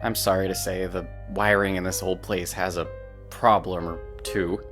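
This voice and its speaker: A man's voice: low-pitched, flat delivery, moderate pace, a bit bright, faint music behind. A man playing an affable, wordy hotel clerk in a visual novel, with a dramatic, chatty manner. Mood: theatrical.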